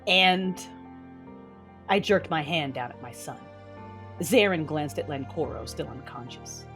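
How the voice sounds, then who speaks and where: Actress as female Sith lord, low husky voice, proud, defiant, intense first-person narration, light music behind. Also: expressive, a little slow, fairly bright tone. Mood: wry, reflective. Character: proud, defiant, fallen Jedi turned Sith lord, a mother. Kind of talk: first-person dramatic narration and audio drama acting.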